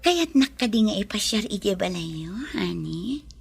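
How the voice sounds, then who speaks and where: A tender young woman in love, soon to be married. Her voice is husky and breathy, and she speaks in a soft, reassuring way, as in an Ilocano radio soap. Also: mid-range voice, lively intonation, measured pace, heavily acted. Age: young adult. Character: Angie, kind, affectionate young bride-to-be. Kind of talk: Ilocano radio drama acting.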